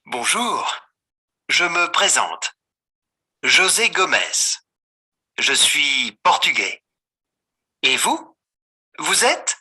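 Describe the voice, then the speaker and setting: Bright, husky man reading a textbook dialogue. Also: on the high side, very animated. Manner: slow, clearly articulated. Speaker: voice on course audio.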